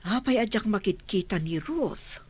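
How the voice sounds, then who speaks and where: A woman's voice: medium pitch, lively intonation, normal speed, darkish tone, breathy edge. A woman with an Ilocano Filipino accent playing a caring, inquisitive older village aunt in a radio drama. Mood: concerned, inquisitive.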